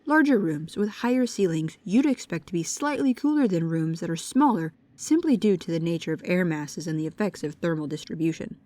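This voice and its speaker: An actress plays a bookish young female paranormal investigator in an immersive audio drama. Her voice sits a little low for a woman. She is precise and pedantic, with a nervous, hesitant earnestness. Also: expressive, a little fast, few pauses, a little mellow, natural voice. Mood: pedantic, curious. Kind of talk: immersive audio roleplay addressed to the listener.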